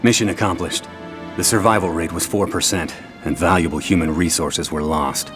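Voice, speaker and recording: A stoic special forces soldier from a video game acted by a man. His voice is low and grim, with a clipped, emotionless military delivery. Music sits clearly behind it. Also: fairly even intonation, normal speed, close to an everyday voice. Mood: cold, resolute. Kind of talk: dramatic video game cutscene acting.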